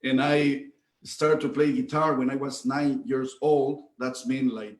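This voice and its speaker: A man's voice: medium pitch, steady tone, a little slow, slight background noise. A man from Mexico, a mariachi guitarist, chatting and explaining the music in a friendly way with many fillers. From Reynosa, Mexico. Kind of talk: casual stage talk. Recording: roomy echo.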